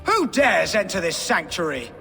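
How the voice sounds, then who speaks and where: A fanatical friar in a video game. His high voice swoops wildly with righteous outrage, ringing in a cavernous reverb under music. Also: very animated, moderate pace, vocal fry, exaggerated voice. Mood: outraged. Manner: shrill, righteous, declamatory. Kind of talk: video game cutscene dialogue. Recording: long cavernous reverb, loud music behind.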